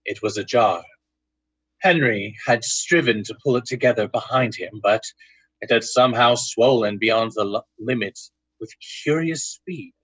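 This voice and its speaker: Husky male gothic radio narrator. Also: medium pitch, fairly bright tone, no echo, rough recording. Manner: deliberate, suspenseful storytelling. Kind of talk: dramatic scripted narration in old-time radio style.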